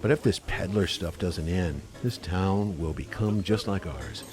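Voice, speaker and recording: An actor playing a brash, skeptical, wisecracking buddy in a radio play, cajoling in colloquial speech. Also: male voice, deep voice, measured pace, music in the background.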